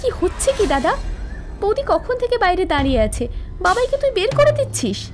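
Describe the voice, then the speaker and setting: A woman's voice: very high voice, sing-song, fairly quick. A woman playing an emotional village family woman in a melodramatic cartoon, pleading and indignant. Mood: reproachful. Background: music.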